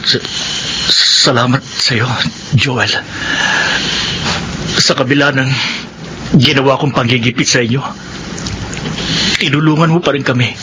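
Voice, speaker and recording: A man's voice: medium pitch, fairly even intonation, measured pace, pauses often, noisy background, close to an everyday voice. A man playing a middle-aged father, a remorseful moneylender, in a Filipino radio drama. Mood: remorseful, grateful. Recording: radio drama under loud music.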